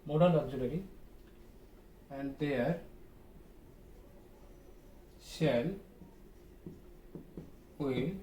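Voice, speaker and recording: A man with a Bangladeshi accent teaching a grammar lesson in a deliberate, repetitive way, checking in with his pupils. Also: mid-range voice, warm tone. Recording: echoey, distant mic, noisy room.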